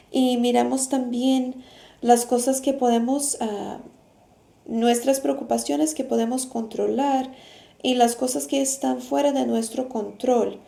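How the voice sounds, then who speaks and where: A woman teacher explaining things casually to children in a halting way, pausing mid-sentence. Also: sits high, vocal fry, dark tone. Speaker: teacher hosting a video lesson. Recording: roomy echo.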